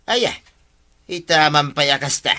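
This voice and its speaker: A man's voice: fairly high pitch, lively intonation, measured pace, pushed and bright. A man with an Ilocano accent acting a brash, chummy villager in a comedy radio drama. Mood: indignant.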